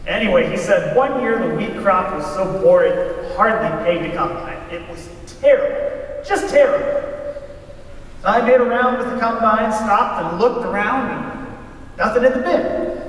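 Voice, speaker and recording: A man's voice: high voice, normal speed, fairly bright tone. A man performing in a readers theater, telling tales in a folksy stage storytelling style. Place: large hall, live stage reading. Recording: echoey hall from far off, faint noise.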